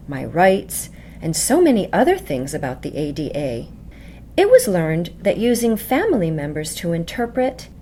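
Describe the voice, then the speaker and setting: A woman's voice: mid-range voice, darkish tone. A woman voicing an earnest, insistent deaf patient in an educational dramatization. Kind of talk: voiced dialogue and scripted explanation in an educational video. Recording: faint background noise.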